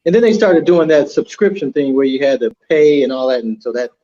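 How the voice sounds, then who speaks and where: Man, podcast co-host, mid-range mellow voice, casual chat, muffled lo-fi call audio. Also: mid-range voice, expressive, brisk. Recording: dull lo-fi online call audio.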